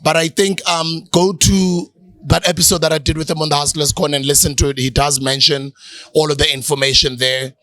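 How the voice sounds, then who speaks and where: Male co-host; relaxed promo read, slightly raised pitch, dry close mic. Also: brisk, dry recording. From South Africa. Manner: relaxed, promotional, hesitant with ums. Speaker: podcast co-host. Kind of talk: casual chat, closing plugs.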